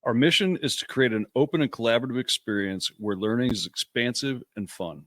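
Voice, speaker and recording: A man co-hosting a nursing podcast, a registered nurse who talks in a casual, halting way and restarts his sentences as he explains. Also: medium pitch, a bit bright, dry recording.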